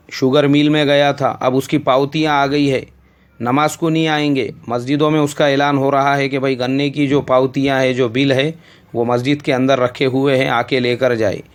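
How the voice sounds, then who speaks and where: A man with an Indian accent gives a religious answer in the manner of a sermon. He scolds and hammers his points home with repetition, and his voice sits at an ordinary middle pitch. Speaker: Islamic scholar answering questions.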